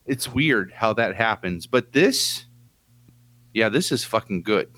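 A man's voice: on the low side, expressive, slow pace, fairly bright tone, no room sound. A man co-hosting a podcast in casual chat, emphatic and loose, swearing casually and filling with "like" and "you know". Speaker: podcast co-host.

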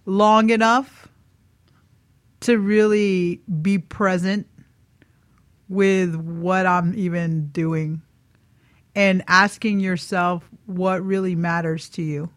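A woman's voice: on the low side, fairly even intonation, takes it slowly, long pauses, no room sound. A woman hosting a radio talk in a casual motivational style, playful and often checking in with her listeners. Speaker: radio show host.

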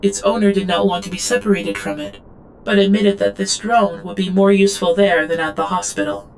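A woman's voice: mid-range voice, little pitch movement, brisk, creaky, faint music behind, close to an everyday voice, poor audio. A woman playing an obedient female servant drone in an audio drama, reporting in a stilted, clinical third-person manner. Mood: clinical, subdued. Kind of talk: scripted audio drama monologue.

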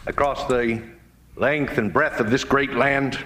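An American man, a pastor, preaching a sermon in a deliberate, oratorical manner. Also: medium pitch, steady tone, a bit bright. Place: seminary chapel service.

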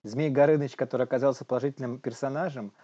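A man co-hosting a podcast with deadpan, ironic satirical banter, recorded a little muffled. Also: medium pitch, fast talker. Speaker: podcast co-host.

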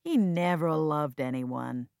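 A woman's voice: low voice, animated, slow, vocal fry, a little mellow, clean tone, no echo, clean studio sound, heavily acted. A woman playing a cold, flippant and bitter grown son in an audiobook drama, with a detached, sardonic and dismissive manner.

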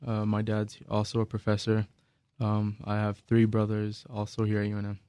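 A man's voice: on the low side, completely flat delivery, moderate pace, warm tone, clear, resonant tone, no echo. A man in his twenties from Egypt, a student guest, introducing himself hesitantly with many ums. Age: 20s.

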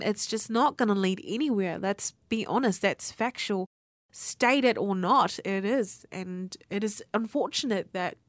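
A 19-year-old woman hosts a student radio show on her own. She talks in a candid, conversational way and drops casual swears. Her voice sits at an ordinary middle pitch, and the recording is dry, with no room echo. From New Zealand. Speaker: radio host. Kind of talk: personal monologue for student radio.

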